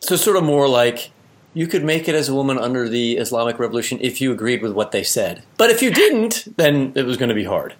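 A man's voice: medium pitch, sing-song, a little fast. Casual man hosting an interview. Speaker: podcast host. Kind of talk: conversational interview questioning.

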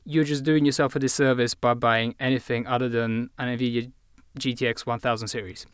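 A man's voice: mid-range voice, flat delivery, a little fast, smooth, no echo, clean studio sound. A man from Bulgaria with a British accent, a guest tech editor explaining hardware in detail on a podcast. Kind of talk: podcast discussion.

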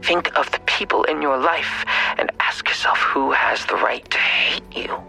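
A man playing a menacing, taunting villain in an audio drama, speaking slowly and deliberately in a breathy, gravelly half-whisper. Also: a little high, sharp tone, faint music behind, full character voice, low-quality recording. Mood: cold contempt.

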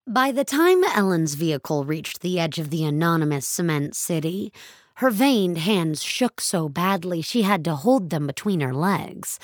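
A woman reading scripted story narration, expressive and deliberate, as the narrator of a tale. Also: on the low side, dry recording, clean studio sound.

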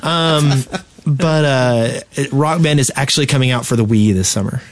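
A man's voice: medium pitch, moderate pace, crowd noise. A man co-hosting a podcast, chatting casually with joking banter. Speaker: podcast co-host. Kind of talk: casual group podcast chat.

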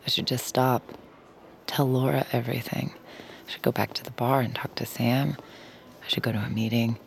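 A very deep female voice with a gravelly fry, playing a restless, secretive woman in an audio drama. She confides as if thinking aloud, guarded and troubled. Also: steady tone, moderate pace, slight background noise. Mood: anxious, confiding. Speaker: lead actor and first-person narrator. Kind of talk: audio drama acting with inner monologue.

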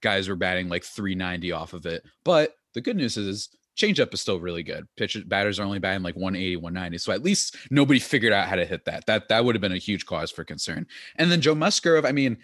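A man co-hosting a podcast in casual chat, animated and talkative, often laughing. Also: low voice, quick pace, few pauses, no echo, studio quality. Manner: animated, chatty, laughs often, many "like" fillers. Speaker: podcast co-host.

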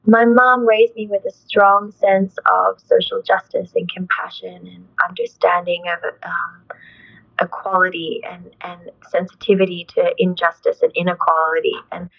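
A woman's voice: fairly low pitch, level tone, normal speed, vocal fry, breathy edge, muffled recording. A young adult woman, an actress, talking in an interview in an earnest, thoughtful way, full of "like" and "you know". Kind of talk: reflective conversational interview.

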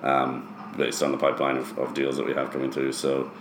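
A man's voice: extremely low pitch, completely flat delivery, brisk. A man from Ireland who has lived in the US, answering interview questions as a founder in a conversational, explanatory way. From Ireland, later years in the US. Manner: explanatory, often checks with "right?". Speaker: interview guest, startup founder. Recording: roomy echo, faint background noise.

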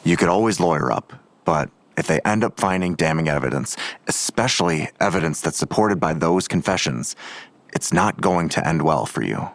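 A man's voice: deep voice, animated, normal speed. An actor playing a blunt, world-weary father in an audio drama, dry and clipped in delivery. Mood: dry, resigned.

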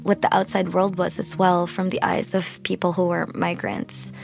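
A woman from the Philippines, speaking reflectively and thoughtfully in an interview, with halting pauses. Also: fairly low pitch, little pitch movement, creaky, darkish tone, faint music behind. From Philippines (Manila). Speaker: interview guest, photojournalist.

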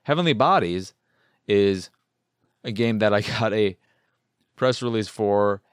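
A man's voice: on the low side, measured pace, smooth, dry recording, studio quality. An American man from Chicago hosting a game podcast, talking casually in a rambling, sweary, self-amused way. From Chicago, United States. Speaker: video game podcast host. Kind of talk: casual solo game review talk.

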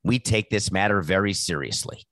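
A man hosting a sports podcast, with a raspy voice and a sardonic, mocking way of talking. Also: low-pitched, moderate pace, a bit bright, dry recording, studio quality. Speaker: podcast host. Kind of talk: sarcastic sports commentary.

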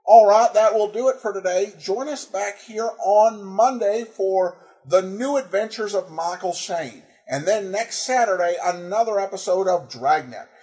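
A man hosting a podcast, speaking informally in a halting, hesitant way as he reads and answers listener feedback. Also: high-pitched, a little mellow. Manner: halting, hesitant, breaks up phrases. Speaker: podcast host.

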